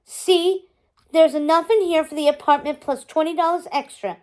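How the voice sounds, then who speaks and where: A woman voicing an excitable, impulsive young girl in a storybook, full of exclamation. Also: high voice, moderate pace, pitched above the performer's own voice, lightly acted. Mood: defensive.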